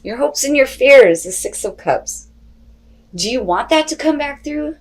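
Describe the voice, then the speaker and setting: A woman hosting an unscripted tarot reading, talking straight to viewers in an expressive, conversational way with rhetorical questions and salty language. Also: sits high, unhurried. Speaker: tarot reader and host.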